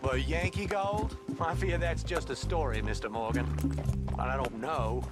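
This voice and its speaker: An actor playing an anxious young gentleman, earnest and worried, in a video game. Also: mid-range voice, unhurried, lightly acted. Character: anxious, secretive young Southern gentleman lover. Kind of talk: video game dramatic acting. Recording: loud music and horse sounds behind.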